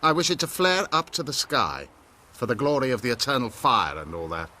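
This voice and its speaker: A pompous male zealot priest in a fantasy game. His voice is sharp and forceful, and he preaches grandly with sanctimonious scorn. There is faint noise behind him. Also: mid-range voice, very animated, fairly quick. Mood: pompous, preachy. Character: pompous, self-righteous male priest of a fire cult. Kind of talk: video game character acting.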